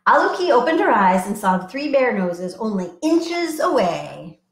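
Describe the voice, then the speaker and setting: A children's librarian, a woman, reading picture books aloud and coaxing young children to join in with an animated, sing-song manner. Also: on the high side, normal speed, roomy sound.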